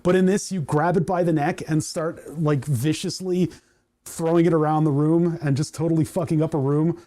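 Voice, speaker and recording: Chatty mellow-voiced man, voice going hoarse. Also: a little high, fairly even intonation, normal speed. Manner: rambling, chatty, laughs often, reads chat aloud. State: voice starting to go hoarse. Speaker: livestream host. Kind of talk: casual livestream chat while coding.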